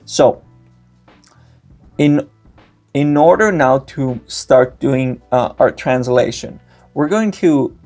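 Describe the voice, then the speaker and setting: Gravelly young man walking through code. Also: mid-range voice, darkish tone. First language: Greek. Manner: step-by-step, frequent "uh" fillers. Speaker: tutorial host. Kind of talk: screen-recorded tech tutorial.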